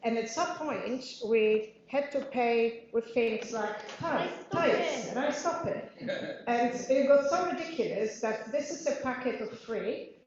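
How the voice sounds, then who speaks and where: A woman's voice: fairly high pitch, lively intonation, slow, darkish tone. Polish woman chairing in an echoey room. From Poland. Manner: chatty, frequent fillers and laughs. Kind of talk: introducing a panel debate. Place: parliament meeting room. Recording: very echoey room, dull audio.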